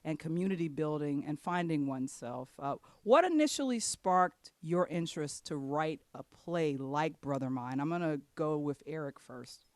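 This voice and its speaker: A man's voice: a little high, normal speed, hardly any pauses, no echo. A man hosting a casual panel interview, asking questions and sometimes reading from notes. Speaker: interview host.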